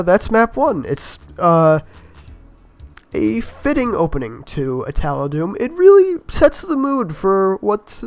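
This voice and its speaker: A man with a slightly raspy voice from being a bit sick, giving easygoing, rambling voice-over commentary on his own game run and laughing at his own mistakes. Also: high voice. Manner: easygoing, rambling, self-mocking with chuckles. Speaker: gameplay commentator.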